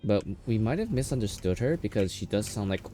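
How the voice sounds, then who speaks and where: A man's voice: on the low side, lively intonation, a little fast. A man doing casual live commentary as he streams a video game, reacting aloud as he plays. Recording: game music behind the voice. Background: video game music.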